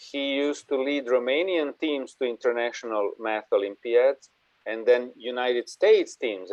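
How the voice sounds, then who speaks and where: A man's voice: medium pitch, a little mellow, no room sound. A man speaks as an invited presenter at an online conference, conversational and restarting phrases here and there. The sound is very dry and somewhat dull, like a lo-fi video call.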